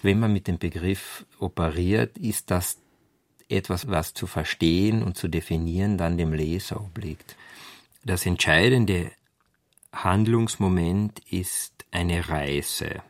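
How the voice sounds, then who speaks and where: A man giving unscripted scholarly commentary on literature, halting and pausing between phrases as he thinks. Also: sits low, no room sound, studio quality.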